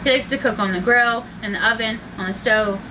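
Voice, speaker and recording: Family vlogger woman, chatty, giggly, slightly high voice. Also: brisk, background noise. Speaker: channel host. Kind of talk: casual chat.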